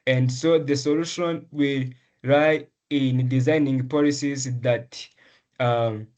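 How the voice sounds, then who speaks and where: A man's voice: medium pitch, steady tone, darkish tone. Earnest young man from Rwanda. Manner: earnest, measured, with frequent ums and restarts. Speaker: medical student, essay prize winner. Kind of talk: interview answers.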